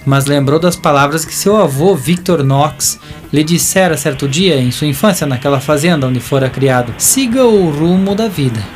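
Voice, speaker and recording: A Brazilian man narrates an audio drama with theatrical, drawn-out phrasing. His voice sits a little high for a man, and music plays behind him. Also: animated.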